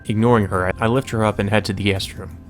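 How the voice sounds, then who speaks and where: A man's voice: fairly low pitch, fairly even intonation, fast talker. A man hosting a let's play, reading a visual novel aloud and adding casual commentary. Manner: casual, profane asides. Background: music.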